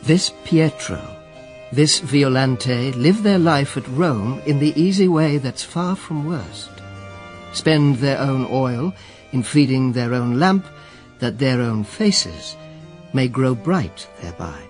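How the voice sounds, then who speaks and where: An actor playing a reflective poet narrating an old murder case in measured, literary verse for a radio drama. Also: male voice, medium pitch, lively intonation, faint music behind. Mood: storytelling.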